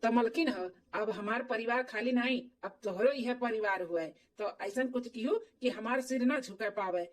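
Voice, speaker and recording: An earnest young husband and dutiful stepson, played by a woman, speaks in a high voice with an Awadhi accent. He explains himself pleadingly in cartoon dialogue, sounding a little away from the mic. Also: normal speed, distant mic, natural voice. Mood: earnest instructing.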